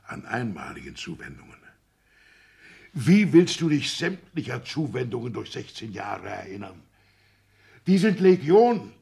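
An actor playing an aggrieved, blustering older petty-bourgeois father with a gravelly, husky voice in a 1960s German radio play. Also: medium pitch, huge pitch swings, a little slow, darkish tone, heavily acted. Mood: grumbling, sceptical.

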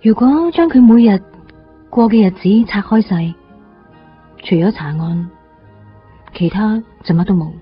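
An actress playing a gentle, caring young woman in a Cantonese radio drama, with a soft, reflective manner. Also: medium pitch, unhurried, pauses often, natural voice. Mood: reflective, pitying. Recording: dull band-limited radio broadcast, music behind.

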